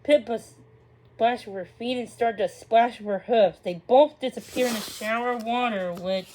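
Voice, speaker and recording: Higher-voiced woman reading a children's storybook. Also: normal speed, noisy background. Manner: stumbles and rereads words. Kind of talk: read-aloud storybook narration with acted dialogue.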